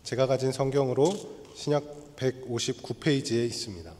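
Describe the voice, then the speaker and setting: Hoarse pastor preaching in a reverberant hall. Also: male voice, medium pitch, darkish tone. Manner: measured, explanatory, leads congregation in reading. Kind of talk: sermon. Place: church sanctuary.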